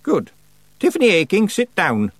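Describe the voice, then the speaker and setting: A man voicing a very old witch, a calm and deliberate woman who is imperious and dryly matter-of-fact. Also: sits high, gravelly, slightly rough, no echo, voice pushed up, exaggerated voice. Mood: commanding.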